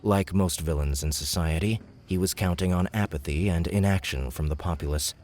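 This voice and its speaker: A man with a very deep voice narrates an audiobook. It is scripted fantasy fiction, read as storytelling. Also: normal speed, darkish tone.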